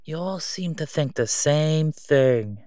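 A man's voice: a little high, animated, mellow, dry recording, clean studio sound. Man acting out a sleazy, menacing crime boss. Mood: quietly threatening. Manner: oily, taunting, drawn-out delivery with stalling pauses. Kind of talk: solo audio roleplay acting.

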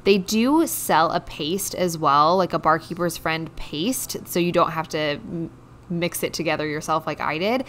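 A woman's voice: low-pitched, a little slow, a bit bright, a little hiss or hum. A woman giving a casual, conversational voice-over about household chores as a vlogger. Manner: conversational, chatty. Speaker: home cleaning vlogger.

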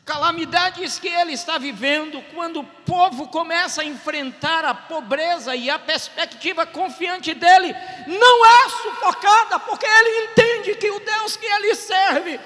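A middle-aged Brazilian pastor with a Bahian accent, preaching a sermon on a handheld mic at an outdoor stage and building to shouted, repeated phrases. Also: male voice, very high voice, measured pace, few pauses, long reverb tail. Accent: Bahian Portuguese. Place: outdoor stage at a drive-in service.

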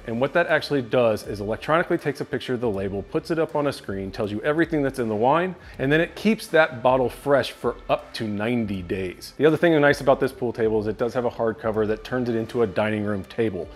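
A man's voice: medium pitch, fast, hardly any pauses. A man in his thirties giving an upbeat walkthrough of his home basement, talking to the camera with a clip-on mic. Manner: upbeat, gestures with his hands while walking and talking to camera. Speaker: tech reviewer giving a home tour. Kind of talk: casual home tour walkthrough. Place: home basement rooms, indoors. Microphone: clip-on lapel mic.

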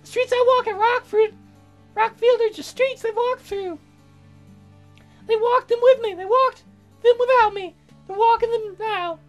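A man voicing a dramatic, romantic young woman songwriter from a visual novel in a gushing falsetto. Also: steady tone, measured pace, long pauses, a bit bright, muffled recording, faint music behind. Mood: wistful, dramatic. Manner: melodramatic, gushing.

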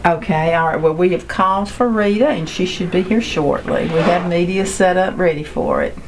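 A woman's voice: lowish voice, very animated, normal speed. A middle-aged woman, a school guidance director, chatting casually in an office. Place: small school office, indoors. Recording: noisy office, room camera pickup.

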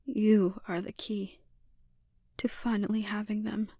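A woman voicing a calmly dominant, taunting sorceress villain in an intimate ASMR roleplay, speaking deliberately and lapsing into breathy whispers. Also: medium pitch, no room sound, clean studio sound. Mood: intense, resolute.

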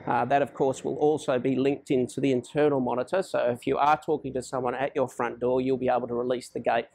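A man's voice: mid-range voice, little pitch movement, fast, vocal fry, darkish tone. A man giving an informal, plain-spoken product overview as a presenter. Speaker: presenter for a security installer.

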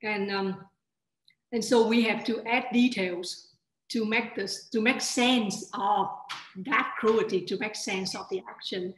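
A woman's voice: mid-range voice, fairly even intonation, slow pace. Thoughtful woman on a video call. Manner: thoughtful, with hesitations. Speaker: librettist. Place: home room. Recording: echoey room.

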